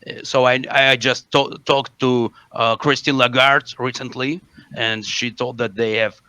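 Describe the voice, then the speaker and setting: A man with an Eastern European accent asking halting interview questions in stumbling non-native English. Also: mid-range voice, fairly even intonation, sharp tone, clear, resonant tone. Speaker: prank caller posing as interviewer.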